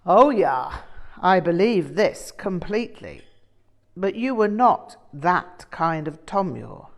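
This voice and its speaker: A woman's voice: on the low side, very animated, takes it slowly, full character voice. A woman reading an audiobook, voicing an elderly male Tibetan Buddhist master who is wry, teasing and challenging. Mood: challenging, emphatic. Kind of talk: audiobook character dialogue.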